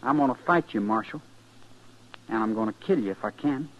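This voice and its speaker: A man's voice: lowish voice, lively intonation, brisk, natural voice. An American man acting in an old-time radio western as a stubborn sixteen-year-old cowboy, terse, rustic and defiant. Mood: grimly determined. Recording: dull vintage radio recording.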